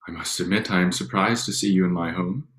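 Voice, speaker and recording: A man playing a reserved young gentleman in a period drama reading, stiffly courteous. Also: low voice, fairly even intonation, fast talker. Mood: awkward courtesy.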